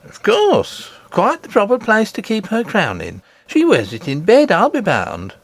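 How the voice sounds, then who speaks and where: A man voicing a sceptical, scolding woman nursemaid in a storybook, brisk, dismissive and sarcastic. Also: high-pitched, wildly swooping pitch, no echo, pitched above the performer's own voice, exaggerated voice. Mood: dismissive sarcasm.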